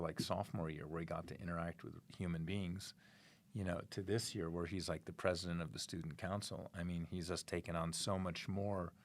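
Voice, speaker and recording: A man's voice: low voice, a bit bright. A middle-aged man answering interview questions as a proud parent, rambling with many fillers and restarts.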